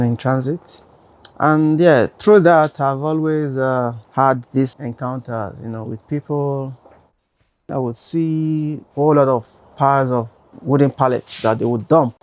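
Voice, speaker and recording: Man, from Nigeria, artist, mid pitch, reflective, repeats "you know". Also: darkish tone. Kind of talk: talking about his artwork.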